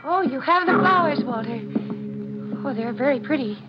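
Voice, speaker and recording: A woman's voice: high voice, lively intonation, moderate pace, loud music behind the voice. A woman playing an anxious young woman coaxing a killer, with urgent delivery, in a 1940s radio drama. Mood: feigned calm. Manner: urgent, coaxing, stammering when afraid. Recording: muffled, noisy 1940s radio recording.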